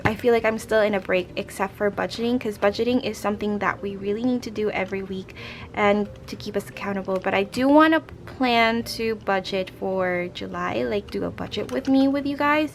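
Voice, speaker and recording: A young woman with a raspy, hoarse voice, chatting casually as a budgeting vlogger and counting out cash into envelopes. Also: medium pitch, slight background noise. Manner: chatty, rambling, counts money aloud. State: hoarse from a late night. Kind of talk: casual chat while stuffing cash envelopes.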